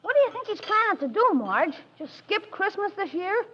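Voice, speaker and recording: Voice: very high-pitched, sing-song, normal speed, sharp tone, slight background noise, exaggerated voice. A cheeky wisecracking boy on old radio. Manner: teasing, wisecracking. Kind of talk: 1940s radio acting. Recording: dull lo-fi 1940s radio broadcast.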